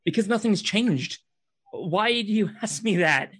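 A man's voice: high voice, expressive, a little slow, a bit bright, slightly rough, heavily acted. A man playing a traumatised, desperate kidnapper in a radio drama, accusing and insistent in clipped lines. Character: traumatised, desperate male kidnapper confronting his captive. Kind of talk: read radio drama dialogue.

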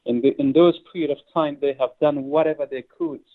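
A man, a poet being interviewed, speaking haltingly with many fillers and restarts. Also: a little high, fairly even intonation. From Afghanistan. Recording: tinny phone line.

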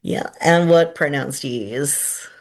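A woman's voice: very deep voice, unhurried. A woman hosting a community radio interview, asking curious questions and answering with short encouraging affirmations.

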